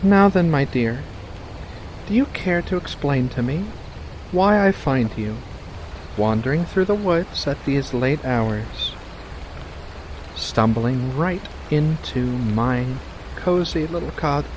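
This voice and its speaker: A man voicing a playful, coy female witch with faint menace in a soft-spoken ASMR roleplay, speaking in a coaxing, deliberate way with pauses. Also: mid-range voice, expressive, background noise, pitched above the performer's own voice. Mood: teasing, reassuring.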